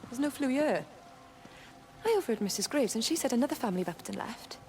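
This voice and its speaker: A woman's voice: on the high side, expressive, fast, darkish tone, slight background noise. An actress playing an anxious, practical young mother in a naturalistic video game drama. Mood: anxious, urgent.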